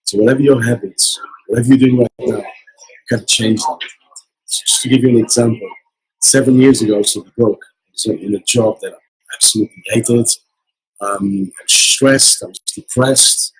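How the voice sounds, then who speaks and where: A man giving an earnest motivational account as an interview guest, hoarse from losing his voice. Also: mid-range voice, moderate pace, poor audio. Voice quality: very husky. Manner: earnest, repetitive motivational talk. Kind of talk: casual interview. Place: casino resort event.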